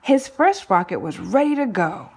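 A woman reading a children's book aloud in an expressive narrating style, phrasing deliberately and leaning on key words for drama. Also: medium pitch, gravelly, breathy edge. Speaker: storybook reader.

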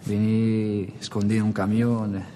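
A young man from Morocco in his twenties, an inmate, speaks quickly and casually. His voice carries a long reverb with faint background noise. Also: fairly low pitch, flat delivery, warm tone. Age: 20s.

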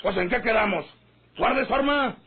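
A man's voice: sits high, normal speed, slightly rough. An actor playing an insistent, placating hired gunman, earnest and persuasive, in a rural radio drama. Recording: noisy, narrow-band old radio recording.

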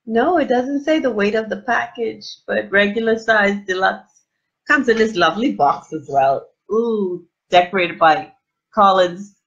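A middle-aged woman chatting live to her viewers on a webcam, gushing and animated with lots of oohs and fillers. Her voice has a slightly husky edge, and the audio sounds dull and lo-fi, as from a desk camera in a small room. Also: mid-range voice, measured pace. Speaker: livestream host. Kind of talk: casual live unboxing chat. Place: small indoor room with plain wall. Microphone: webcam at desk distance.

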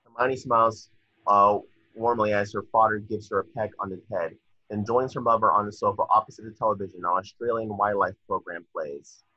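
A young man narrates a screenplay over a dull, dry video call. His voice is low but forceful, with a husky grain. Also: fairly even intonation, fairly quick, no room sound. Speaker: stage directions reader. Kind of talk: scripted narration of screenplay directions. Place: indoor room at home, on a video call.

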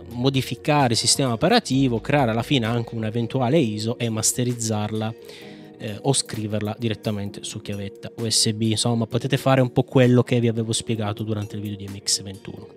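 A man giving a casual, playful software tutorial in an informal, chatty way. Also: medium pitch. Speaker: tech video host.

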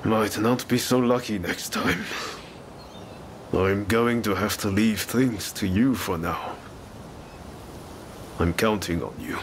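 A man playing a bold, expressive samurai reformer with big dreams in a video game cutscene. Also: lowish voice, slow, pauses often, a little hiss or hum. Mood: weary, calm. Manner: hearty, expressive, theatrical.

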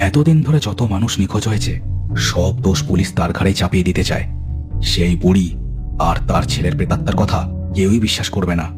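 A man with a Bengali accent narrating a scripted horror story in a measured, suspenseful, dramatic way. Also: low voice. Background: music.

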